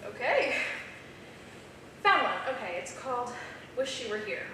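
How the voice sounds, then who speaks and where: Echoey, higher-voiced woman acting a bookish young student. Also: very animated, unhurried, bright, forceful tone, heavily acted. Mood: eager. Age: young adult. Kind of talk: staged script reading of a play. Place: small theater stage. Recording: very echoey, noisy theater, distant mic.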